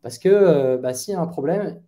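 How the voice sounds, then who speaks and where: A man's voice: a little high, animated, moderate pace, warm tone. A man in his thirties, a guest product manager, answering interview questions from his bedroom over a headset on a video call, thoughtful and hesitant with many fillers and restarts. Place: bedroom at home.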